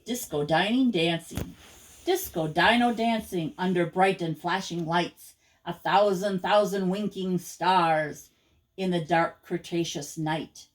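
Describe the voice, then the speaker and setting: An older woman reading children's rhyming verse aloud as a grandmother would at storytime, with a measured, rhythmic delivery. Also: fairly low pitch, low-quality recording.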